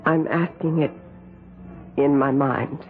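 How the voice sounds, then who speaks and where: A woman's voice: deep voice, steady tone, takes it slowly. Intense young witch disciple, tinny radio. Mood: anxious. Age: young adult. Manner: earnest, dramatic, tense. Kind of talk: 1970s radio drama acting and first-person narration. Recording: tinny old radio broadcast, music underneath.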